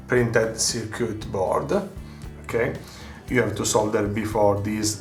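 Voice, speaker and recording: Slow, careful Italian-accented man. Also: mid-range voice. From Italy. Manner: slow, careful, many filler sounds. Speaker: hobbyist presenter. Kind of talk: unboxing a radio kit. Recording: echoey room, faint music behind.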